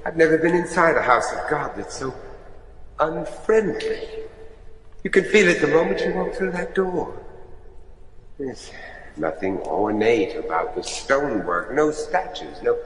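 An aging, grief-stricken judge in a gothic horror radio play. His husky voice swings widely in pitch, theatrical and halting, full of dread. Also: sing-song, exaggerated voice, low-quality recording. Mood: uneasy. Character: grieving father, an anxious, haunted old judge.

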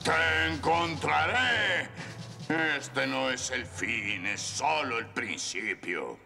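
An actor playing a ruthless, vengeful Roman soldier in a cartoon, with a gravelly, menacing delivery. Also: male voice, medium pitch, lively intonation, extremely slow, full character voice. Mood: furious. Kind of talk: cartoon villain dubbing. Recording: music behind the voice.

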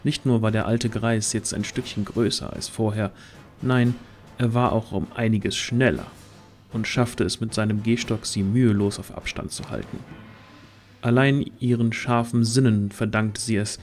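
A man's voice: on the low side, steady tone, normal speed, darkish tone, faint music behind. A man narrating a scripted fantasy audio drama in a literary storytelling manner.

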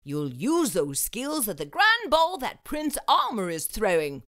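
A woman voicing a kindly, encouraging male bat headmaster in a storybook, with a slow, grand delivery. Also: fairly high pitch, wildly swooping pitch, a bit bright, no echo, clean studio sound, heavily acted. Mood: upbeat, reassuring.

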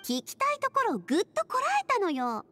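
A woman voicing a lively, chatty, caring young lady in an anime game, expressive and teasing. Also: very high-pitched, a little fast, faint music behind, full character voice. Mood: impatient.

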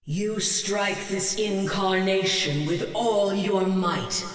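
A woman's voice: mid-range voice, close to an everyday voice. A woman voicing an ancient, inexorable cosmic void entity in a video game, declaring doom with solemn, cold disdain. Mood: cold menace. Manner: solemn, menacing, measured declarations. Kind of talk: scripted video game villain monologue. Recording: distant voice in long echoing reverb.

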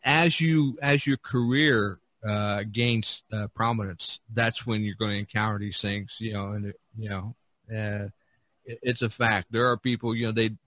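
A man hosting a casual podcast discussion, speaking haltingly and often repeating words and phrases. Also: on the low side, steady tone, fairly bright tone, dry recording. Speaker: podcast host.

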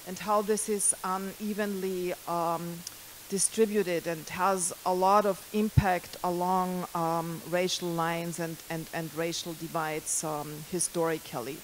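Middle-aged woman, lecturing into a handheld mic, lotsa ums and restarts, lowish voice, slight hiss. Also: little pitch movement, measured pace, rough recording. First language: German. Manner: hesitant, many fillers and restarts. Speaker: guest lecturer. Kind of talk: university lecture. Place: lecture hall.

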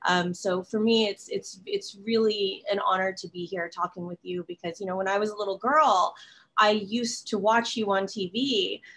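A woman's voice: medium pitch, steady tone, normal speed, fairly bright tone, poor audio. A young woman, a chef, hosting an interview over a video call from her kitchen, admiring and chatty with many fillers. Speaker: chef host. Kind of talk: casual interview. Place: home kitchen.

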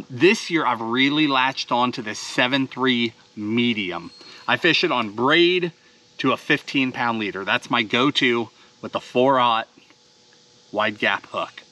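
A man's voice: mid-range voice, bright, forceful tone. A man in his thirties, an enthusiastic fishing host explaining gear step by step while sitting on a boat on a lake outdoors. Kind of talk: instructional fishing talk to camera.

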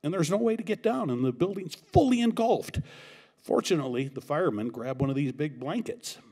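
A man emceeing an awards event, telling a joke story at a podium mic in a banquet hall, with animated, shouted quoted lines. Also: on the high side, brisk, breathy edge.

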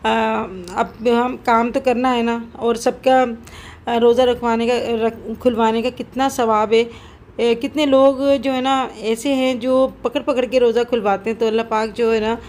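A woman's voice: a little high, little pitch movement, measured pace, darkish tone. A middle-aged woman, chatting casually as she cooks in her home kitchen and explains each step with lots of fillers. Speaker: cooking vlogger. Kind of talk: casual cooking narration. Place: home kitchen, then rooftop.

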